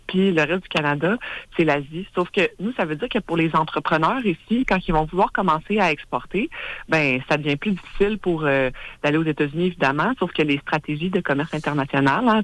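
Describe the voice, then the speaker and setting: A woman's voice: low voice, fairly bright tone, no echo, low-quality recording. A woman with a Québécois accent answers on a radio show, speaking earnestly and stopping to restart her sentences. Her voice sits close and dry, with no room sound around it. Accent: Québécois French. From Quebec. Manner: earnest and explanatory, many hesitations and restarts. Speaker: guest, head of an independence organization. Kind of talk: live radio interview.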